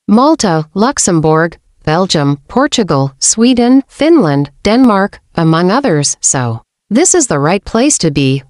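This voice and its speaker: A woman presenting a channel, reading scripted voice-over narration and long lists in a steady announcing cadence. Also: lowish voice, no room sound, studio quality.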